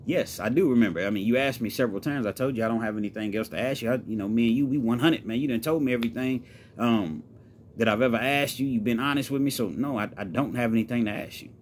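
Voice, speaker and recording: A man's voice: medium pitch, fast, slight background noise, lightly acted. Man acting out an indignant, hot-tempered wronged man. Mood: earnest. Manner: indignant, repetitive street-talk protesting. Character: hot-tempered, wounded man who got catfished online.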